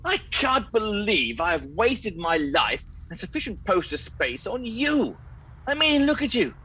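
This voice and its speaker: An actor playing a proper, pompous British gentleman in a comic radio play, with theatrical delivery and a British accent. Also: high-pitched, sing-song, unhurried, slightly rough, muffled recording, exaggerated voice. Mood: indignant. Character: indignant, proper British gent, detective's companion.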